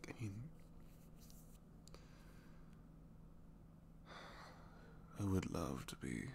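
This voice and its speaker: A man playing a captured, reluctantly evil supervillain in a romantic ASMR roleplay, bashful and lovestruck, speaking softly and haltingly and slipping into whispers. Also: low-pitched, animated, gravelly, slight background noise, exaggerated voice. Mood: earnest, vulnerable. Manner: halting, self-deprecating, soft confiding delivery slipping into whispers.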